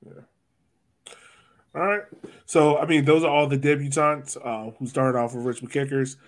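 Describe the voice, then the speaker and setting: A man's voice: medium pitch, steady tone, moderate pace. A man chatting casually as a sports podcast co-host, informal and slangy in the way he talks. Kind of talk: casual chat.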